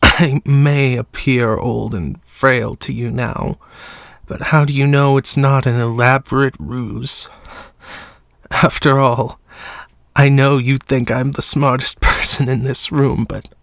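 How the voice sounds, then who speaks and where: Frail sick man, coughs a lot, talks real slow and calm-creepy. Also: mid-range voice, no room sound, studio quality. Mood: quietly menacing. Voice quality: coughing, weak, breathy. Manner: slow, quietly menacing. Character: sickly frail man, ancient-seeming, sinister calm. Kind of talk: audio drama acting.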